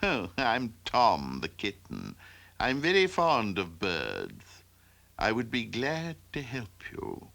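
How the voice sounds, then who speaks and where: Storybook kitten, male reader: self-assured, bossy, smooth-talking, lively intonation. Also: fairly low pitch, slow pace, full character voice. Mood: eager, helpful. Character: smug, bossy young tomcat who loves birds.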